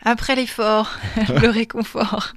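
A woman's voice: a little high, steady tone, slow, no echo. A woman presenting music on the radio in a playful, teasing way, laughing often as she chats. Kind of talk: lively radio music chat. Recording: dry studio sound.

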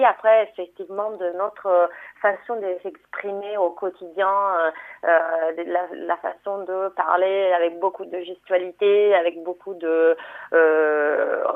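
A woman from Italy with an Italian accent, answering interview questions in an expansive, conversational way, telling stories as she goes. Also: on the low side. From Italy, born in Rome. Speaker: comedian and actress, interview guest. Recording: tinny narrow telephone line.

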